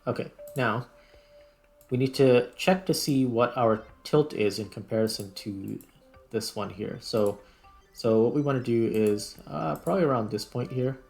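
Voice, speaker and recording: A man's voice: medium pitch, gravelly, darkish tone. A man hosting a gaming video, talking casually and thinking aloud step by step as he plays. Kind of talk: casual let's play commentary. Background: background music.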